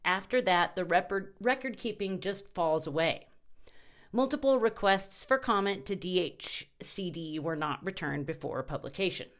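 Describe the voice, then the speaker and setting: A woman with a slightly low-pitched voice narrates a written news feature. Her delivery is scripted and measured, relaying facts and quotations alike in her own reporting voice. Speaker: article narrator.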